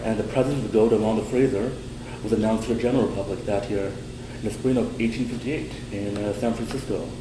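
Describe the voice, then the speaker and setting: A young male student giving a prepared class presentation in a steady way, slowing on key words. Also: lowish voice. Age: young adult. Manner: steady, prepared delivery with some slow stresses. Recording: echoey room with air-conditioning hum.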